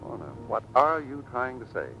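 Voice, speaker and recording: A man's voice: lively intonation, moderate pace, sharp tone. A man acting an earnest, devoted skipper husband in a vintage radio drama. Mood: concerned, puzzled. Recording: dull vintage radio, faint rumble.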